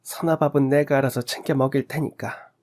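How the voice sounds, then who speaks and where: Man playing a raised-pitch motherly neighbour woman. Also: fairly even intonation, fairly quick, darkish tone, close to an everyday voice. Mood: caring. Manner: motherly, fussing, colloquial. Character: kindly, caring middle-aged neighbour woman.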